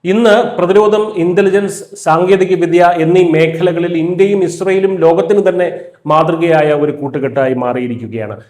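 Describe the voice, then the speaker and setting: A man's voice: high-pitched, steady tone, moderate pace, a little mellow, echoey room. A man reading a scripted news voice-over in a firm, emphatic way. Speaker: news narrator.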